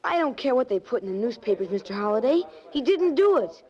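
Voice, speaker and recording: A woman's voice: fairly high pitch, very animated, fast. A woman playing a plucky, trusting young boy in a 1940s radio drama, talking in earnest, streetwise slang. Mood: earnest, insistent. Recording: muffled vintage 1940s radio recording.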